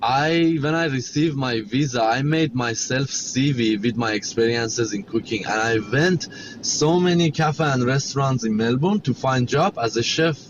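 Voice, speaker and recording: A middle-aged man with a Persian accent tells his story in halting, repetitive learner's English. His voice sits at an ordinary pitch for a man. The audio is somewhat muffled, with clear noise underneath. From Iran. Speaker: guest, chef and cafe owner. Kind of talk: interview storytelling.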